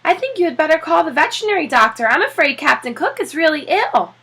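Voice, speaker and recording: A woman voicing a caring, concerned wife and mother in a children's storybook, gently fretting. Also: high-pitched, big pitch swings, fast talker, pitched above the performer's own voice, heavily acted. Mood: worried.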